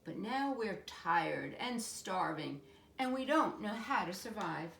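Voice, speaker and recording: An eager little storybook boy, acted out by a woman, full of bossy make-believe. The delivery swings up and down with dramatic excitement, in an echoey room. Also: mid-range voice, takes it slowly, creaky, a little hiss or hum, voice pushed up, exaggerated voice. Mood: eager, directing. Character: eager, imaginative little boy playing pretend.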